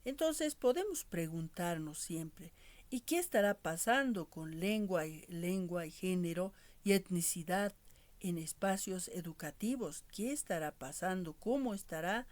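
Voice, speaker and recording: Slow, low-voiced woman lecturing. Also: lively intonation. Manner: measured, often ends with tag questions. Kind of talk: online class lecture.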